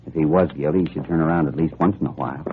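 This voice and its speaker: Very deep-voiced rookie federal agent. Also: male voice, fairly even intonation, very fast. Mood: wary musing. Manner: slangy muttering to himself. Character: cocky young rookie federal agent on a stakeout. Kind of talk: 1940s radio acting. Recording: noisy, narrow-band vintage radio recording.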